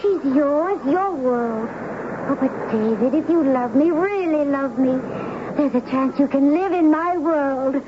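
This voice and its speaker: A mysterious young maiden of the sea, speaks high, gentle and full of longing. She pleads earnestly in 1970s radio drama style. The recording is dull, with clear background noise. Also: a little slow. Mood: urgent, pleading. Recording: noisy, band-limited 1970s radio broadcast recording.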